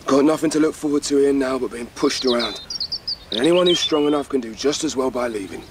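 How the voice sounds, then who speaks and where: A man's voice: mid-range voice, fairly quick, noisy background, close to an everyday voice. A male actor playing a tough, defiant rabbit fighter in a radio drama, with a blunt, sardonic and commanding manner. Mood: resolute.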